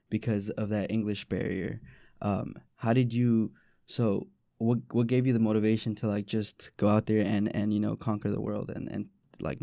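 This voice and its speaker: A man conducts an interview in a relaxed, mellow voice with a fairly flat intonation. His questions are casual and informal, and he feels his way through the phrasing. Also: fairly low pitch, gravelly, dry recording, clean studio sound.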